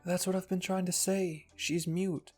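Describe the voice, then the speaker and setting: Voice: mid-range voice, level tone, unhurried, voice pushed up, lightly acted. A narrator voicing a shy, protective teenage boy in a fan fiction reading, flustered and earnest. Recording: music behind the voice.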